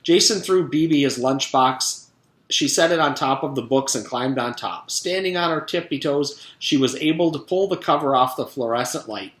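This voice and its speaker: A man's voice: mid-range voice, normal speed, fairly bright tone, reverberant. A man reading a children's storybook aloud like a teacher, expressively and speaking directly to young listeners.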